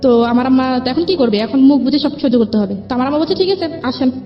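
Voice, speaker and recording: Young woman, quick rambling personal storyteller quoting conversations, dull lo-fi sound over music. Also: high voice. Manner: rambling, repeats herself, quotes conversations. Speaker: guest telling own life story. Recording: dull lo-fi audio with music behind.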